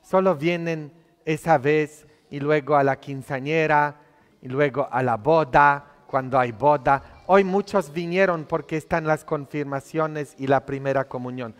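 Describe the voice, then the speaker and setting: A man's voice: fairly high pitch, measured pace. A priest in his thirties, not a native Spanish speaker, preaching a conversational homily full of tag questions from the altar of a church. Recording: long church reverb.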